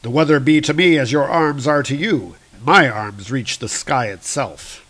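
A noble warrior god of thunder, read out by a man, speaks gravely in lofty, archaic phrases. He is a solemn comic book hero at a medium male pitch. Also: animated, a little slow, a bit bright. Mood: defiant.

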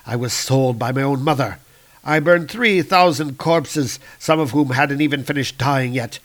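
A cruel dark elf lord, as a man puts him on while reading a comic aloud. He speaks bitterly and menacingly with a raised voice. He sounds vengeful and proud. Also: mid-range voice, animated, unhurried, breathy edge. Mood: bitter, raging.

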